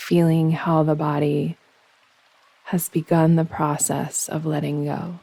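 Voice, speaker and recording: A woman guiding a yoga nidra meditation in slow, soothing instruction, with measured, unhurried phrasing and pauses. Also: deep voice, flat delivery, creaky, warm tone, rough recording.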